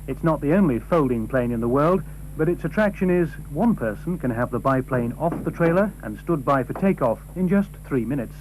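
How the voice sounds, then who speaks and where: A man's voice: on the high side, lively intonation, a little fast, a little mellow. A man narrating a scripted television news feature as a reporter. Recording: noisy old TV broadcast, faint hum.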